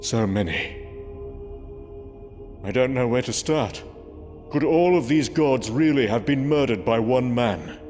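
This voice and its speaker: A mid-pitched, solemn voice of an earnest warrior god acted by a man, formal and grave as a prince. It is delivered as audio comic drama, with music clearly underneath. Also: expressive, a little slow, long pauses, darkish tone, lightly acted. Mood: grim, solemn.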